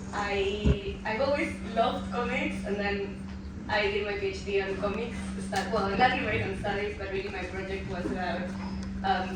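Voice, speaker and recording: A woman from Peru in her thirties moderating a live panel, hesitant and a bit nervous, with many ums and restarts. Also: mid-range voice. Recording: echoey room from far off with noise.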